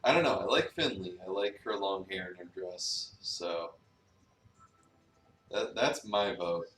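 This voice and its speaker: A man with a fairly low but bright voice co-hosts a game stream in casual chat and read-aloud. The sound is echoey, as if from a mic some distance away. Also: a little slow. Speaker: streamer co-hosting a visual novel read-aloud. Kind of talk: casual chat and dramatic reading.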